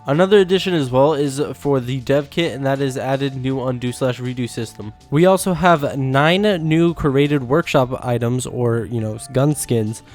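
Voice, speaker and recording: A man's voice: medium pitch, moderate pace, hardly any pauses, darkish tone. A man hosting a gaming update video, talking in a casual, informal, explanatory way. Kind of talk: casual game update commentary. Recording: music behind the voice. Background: background music.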